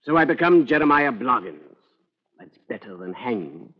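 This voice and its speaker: Swooping, flippant gentleman. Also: mid-range voice, very animated, normal speed, breathy edge. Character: flippant, sardonic English gentleman on the run. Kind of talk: 1940s radio drama acting. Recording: dull, lo-fi old radio recording.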